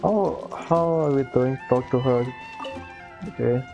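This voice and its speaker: A man casually commenting on a game as he plays, musing half to himself. His voice is dark and mellow. The lo-fi recording sounds dull, with faint game music underneath. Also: medium pitch, measured pace. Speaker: gameplay streamer.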